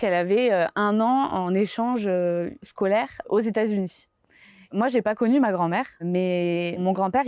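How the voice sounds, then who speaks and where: A woman's voice: mid-range voice, darkish tone, no room sound, studio quality. A young woman from France with a French accent, telling her story in a casual interview with many fillers and small laughs. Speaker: interviewee, graphic designer.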